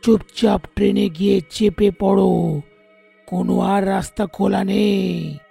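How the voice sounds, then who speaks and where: A gravelly, taunting station ghost. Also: medium pitch, fairly even intonation, a little slow, warm tone, soft background music, exaggerated voice. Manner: taunting, cackling. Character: menacing male ghost haunting a railway station. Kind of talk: cartoon voice acting.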